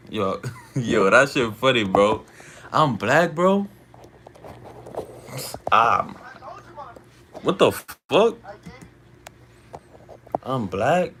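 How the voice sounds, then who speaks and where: Laid-back slangy man with a low voice. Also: pushed and bright, a little hiss or hum. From Dominican family, born in the US. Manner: laid-back, slangy, keeps asking "you feel me?". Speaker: live streamer reading chat. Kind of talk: casual late-night live stream chat.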